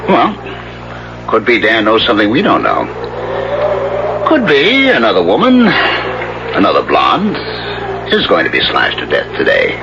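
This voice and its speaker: Menacing, swooping radio-drama skier. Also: male voice, lowish voice, huge pitch swings, measured pace, vocal fry, long pauses, music in the background, full character voice. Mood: ominous teasing. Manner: insinuating, mock-casual, with pointed questions. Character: menacing, teasing male skier toying with his companion. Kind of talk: 1970s radio drama acting. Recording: muffled, noisy old radio recording.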